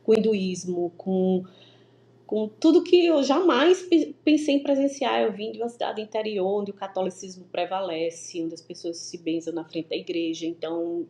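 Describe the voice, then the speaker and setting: A Brazilian woman from the Northeast telling her story as an interview guest over a video call, in a lively, stop-start way with many restarts. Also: medium pitch, moderate pace. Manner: animated, many restarts and stammers. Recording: echoey room with faint ambience.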